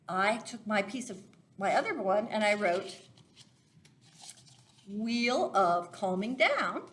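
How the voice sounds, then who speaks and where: A woman's voice: medium pitch, fairly bright tone. A woman leading a children's Sunday school lesson, explaining things simply, step by step, as if to young listeners. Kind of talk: children's lesson, craft demonstration.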